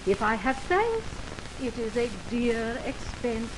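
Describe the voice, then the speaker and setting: An actress playing a wistful, lovelorn young woman, declaiming drawn-out verse in 1920s radio Shakespeare. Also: on the high side, very animated. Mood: wistful, pained. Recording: noisy, hissing 1929 radio aircheck.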